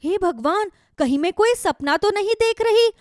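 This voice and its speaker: A woman plays an excitable village wife in a children's moral cartoon. Her voice is high and dramatic, swinging widely in pitch, in a dry recording. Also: normal speed, no room sound. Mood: frightened. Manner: dramatic, wide-eyed exclaiming. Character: easily frightened, hopeful village wife.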